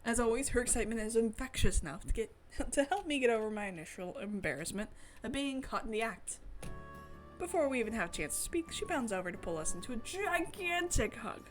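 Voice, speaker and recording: An excitable woman streams herself reading game story aloud. Her voice is highish and very animated, with giggly, gushing reactions. Music plays behind her. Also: fairly quick. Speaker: streamer playing a visual novel.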